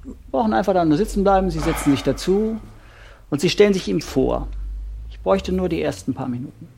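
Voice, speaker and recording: An actor playing a couples therapist in a German audio drama, speaking deliberately with pauses and giving directions. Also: male voice, high-pitched, creaky, dark tone, a little hiss or hum. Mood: probing. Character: probing, measured male couples therapist.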